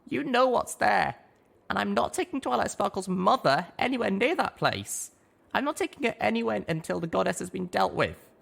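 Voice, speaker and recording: A girlish young pony mare, acted out by a male narrator, pitched well up. She is an earnest, firm-minded storybook heroine with a lively delivery. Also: normal speed, gravelly, exaggerated voice. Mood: firm, insistent. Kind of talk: audiobook character acting.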